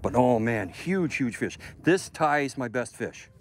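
A man's voice: medium pitch, slow pace, over loud music. An older man hosting a fishing show from a small boat on a lake, talking and exclaiming as he fights fish. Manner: excitable, laughing, exclaiming. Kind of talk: on-the-water fishing commentary.

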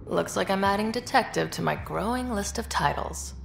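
An actress playing a dry, cocky young space explorer in a video game, terse and self-assured. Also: female voice, mid-range voice, expressive, moderate pace, gravelly. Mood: businesslike. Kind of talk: video game dialogue acting. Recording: roomy echo, faint background noise.

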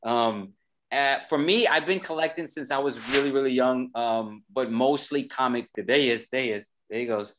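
A man's voice: mid-range voice, normal speed, noisy background. A man in his thirties hosting a casual video call from home, rambling and laughing often. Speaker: host. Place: home room indoors. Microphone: webcam on video call.

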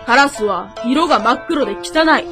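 High-voiced indignant young boy played by a woman. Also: animated, normal speed, music in the background. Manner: accusing, insistent. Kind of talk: Japanese radio drama acting.

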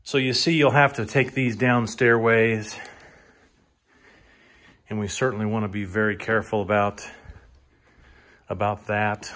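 A man giving an informal auctioneer's walk-through of items for sale inside a house, speaking haltingly and repeating himself for emphasis. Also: fairly low pitch, fairly bright tone. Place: indoors, moving through rooms of a large house. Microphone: handheld phone or camera, held by the speaker.

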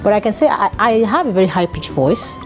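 A woman in her thirties with a Nigerian accent, a musician guest talking in an animated way with frequent laughs and restarts, seated in a studio. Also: mid-range voice, fast, soft background music. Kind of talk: casual interview chat. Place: dark television studio set, seated in armchair. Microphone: lapel mic.

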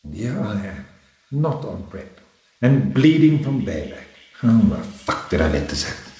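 An anxious boyfriend, deep and swooping. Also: male voice, sing-song, moderate pace, warm tone. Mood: anxious self-blame. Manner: swings between forced casual cheer and fretful self-talk. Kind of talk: radio drama acting with whispered inner monologue. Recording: roomy radio drama with faint music.

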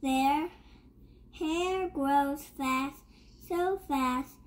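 Child, high-pitched voice, very slow halting reading, picture book rhymes, repeated words. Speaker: young reader. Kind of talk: halting read-aloud of a rhyming picture book.

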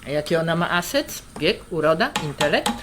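Radio drama: blunt, teasing woman of the world, played by an actress, low-ish and very animated, audible cutlery and pans behind. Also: a little fast. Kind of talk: radio drama dialogue, naturalistic. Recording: clear kitchen noise and clatter. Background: cutlery, stirring, pots and pans.

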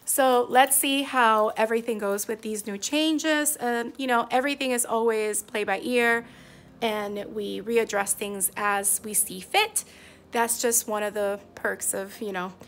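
A woman in her forties talks into a phone at arm's length after school. Her tone is chatty and relaxed, with the easy rhythm of a teacher walking viewers through her room. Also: fairly high pitch. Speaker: fourth grade teacher. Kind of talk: casual vlog talk to camera. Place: empty classroom and parked car. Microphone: phone held at arm's length.

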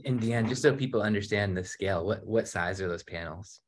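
Guy moderating an online panel, lower voice, sounds a bit distant. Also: a little fast.

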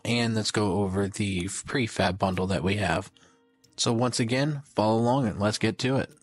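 A man with a fairly low voice hosts a gaming video. He talks casually and rambles a little as he comments on updates. The recording is close and dry. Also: no room sound. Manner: rambling, conversational.

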